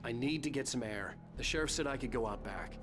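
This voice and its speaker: An actor playing a troubled, anxious writer in a video game, speaking in a terse, grave way. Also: male voice, medium pitch, brisk, music in the background, lightly acted. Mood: tense. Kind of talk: video game dialogue and scripted narration.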